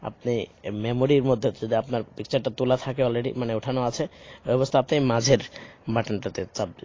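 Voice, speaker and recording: A man's voice: medium pitch, steady tone, fairly quick, mellow. A man giving a hesitant, step-by-step phone tutorial, explaining and repeating the screen prompts. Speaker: tutorial host for blind users. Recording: dull lo-fi home recording.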